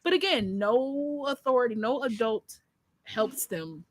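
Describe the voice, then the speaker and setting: A woman in her thirties talks through a film in loose, rambling panel chat, filling her sentences with "like" and "you know." Her voice sits a little high, and the sound is dry and close. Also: expressive, unhurried, no echo. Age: 30s. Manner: rambling, many likes and you-knows.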